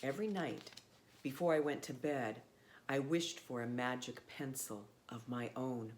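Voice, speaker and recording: A woman reading a children's storybook aloud in a measured, expressive way with pauses. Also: extremely low pitch, slight background noise.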